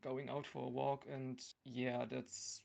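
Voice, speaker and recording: A young man chatting casually as a podcast guest, in a hesitant, halting manner. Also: medium pitch, completely flat delivery, creaky, darkish tone. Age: young adult. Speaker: podcast guest, university student. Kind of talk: casual chat.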